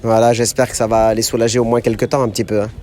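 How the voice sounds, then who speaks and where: A man born and raised in France, a charity member speaking hesitantly with many pauses and fillers in an on-site interview. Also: medium pitch, flat delivery, a little mellow. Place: outdoors at a Quranic school.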